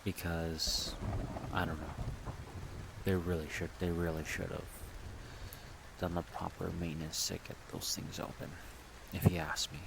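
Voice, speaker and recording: A man talking softly in a casual, halting ASMR ramble. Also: low-pitched, gravelly. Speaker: ASMR creator rambling about a project. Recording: steady rain-like background noise.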